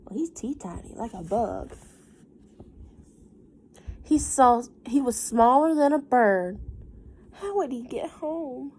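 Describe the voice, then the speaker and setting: A woman teacher reading a picture book aloud to young children, with chatty asides and questions, in an expressive, gentle teacherly manner. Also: on the high side, slow pace, pauses often, slightly rough.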